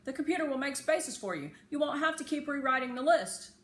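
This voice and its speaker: A woman voicing an insistent, matter-of-fact schoolboy in a storybook, speaking up loudly. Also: high-pitched, animated, brisk, voice pushed up. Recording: echoey room with faint background noise.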